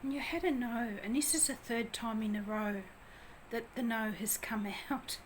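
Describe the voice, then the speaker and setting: A woman with a naturally quiet voice giving a gentle tarot reading, talking softly with pauses. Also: fairly high pitch, a little hiss or hum, poor audio. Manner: gentle, soft, slow and hesitant. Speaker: tarot reader. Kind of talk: soft-spoken tarot reading.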